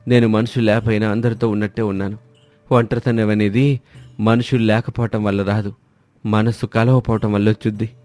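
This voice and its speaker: An actor playing a kind, stubborn village man who lives alone, speaking gently and reassuringly. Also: on the low side, level tone, brisk, soft background music, close to an everyday voice.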